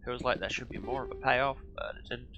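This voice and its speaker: A man's voice: mid-range voice, brisk, dull audio, noisy background. A man giving casual, jokey commentary while playing a video game, with wry, rambling asides. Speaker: video game let's player. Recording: game music behind the voice. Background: video game music.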